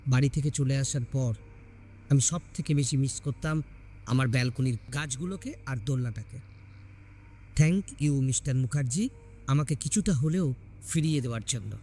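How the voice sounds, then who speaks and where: A man's voice: mid-range voice, normal speed, pauses often, warm tone, natural voice. A man voicing an affectionate, sentimental young wife in a narrated horror story. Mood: tender.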